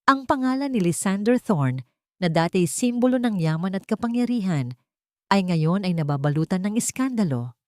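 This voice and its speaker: A woman's voice: mid-range voice, expressive, normal speed, mellow, no room sound, studio quality. A woman narrating an audiobook with dramatic, scripted delivery.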